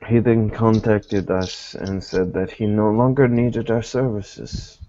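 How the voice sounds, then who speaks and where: Man playing a deep, slow, formal investigator. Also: steady tone, warm tone, lo-fi sound, slight background noise. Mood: grave, deliberate. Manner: measured, formal, deliberate phrasing. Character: formal, guarded male private investigator of a secretive order. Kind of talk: in-character tabletop roleplaying.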